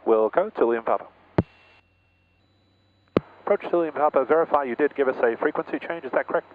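A man speaks quickly as a pilot, in a mid-range voice. The radio is tinny with clear static. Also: mid-range voice. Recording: tinny, noisy aviation radio.

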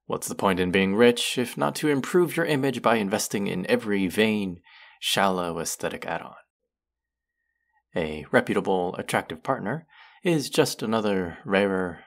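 A condescending young college man, played by a male actor in an audio roleplay, with a very deep voice edged in gravelly fry. He drawls with haughty, sardonic smugness, speaking straight to the listener. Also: heavily acted. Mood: smug, scornful. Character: condescending, smug young male college rich-club hopeful.

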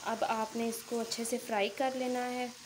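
A woman's voice: a little high, level tone, moderate pace, slight background noise. A woman hosting a home cooking channel, explaining each recipe step and speaking directly to her viewers. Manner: instructive, addresses viewers directly. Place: home kitchen at the stove.

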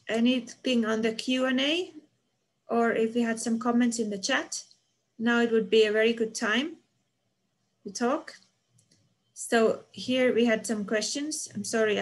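Woman from Finland, middle-aged, professor, video call lecture, chatty Q and A, fillers. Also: mid-range voice. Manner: reads chat comments aloud and answers them, with fillers. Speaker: university professor. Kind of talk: online lecture Q and A.

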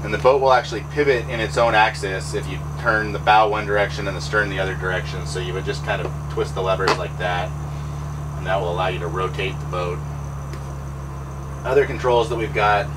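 Boat owner, male, lowish voice, easygoing explainer over steady engine noise. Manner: relaxed, practical, with fillers. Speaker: boat owner giving a tour. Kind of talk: casual explanatory walkthrough. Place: pilot house of a trawler yacht underway.

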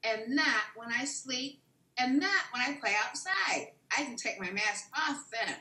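A woman's voice: high voice, animated, measured pace, bright, forceful tone, full character voice. A woman voicing a simple, earnest little child puppet. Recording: echoey video call room.

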